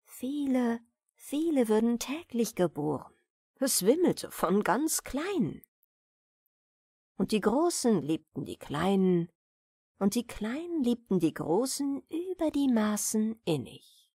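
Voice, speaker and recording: A woman narrating a fairy tale as an audiobook storyteller, deliberate and expressive, with dramatic pauses. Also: on the high side, husky, no echo, clean studio sound. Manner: deliberate, dramatic pauses between short phrases.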